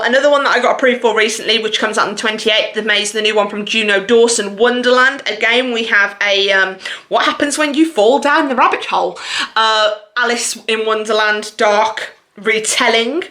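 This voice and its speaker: Bright-voiced, gushing woman vlogger. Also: medium pitch. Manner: gushing, effusive, expressive. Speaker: book vlogger. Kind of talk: casual chatty video monologue about books.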